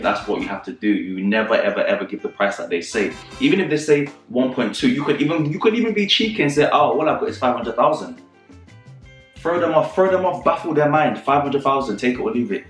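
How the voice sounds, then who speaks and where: A man in his thirties hosting a casual advice vlog to camera, animated and repeating phrases for emphasis. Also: medium pitch, reverberant, distant mic. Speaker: property channel host.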